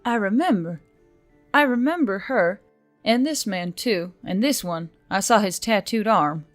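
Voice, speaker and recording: A woman's voice: medium pitch, lively intonation, a little slow, soft background music, natural voice. Guarded, shaken adventurer woman, read by a narrator.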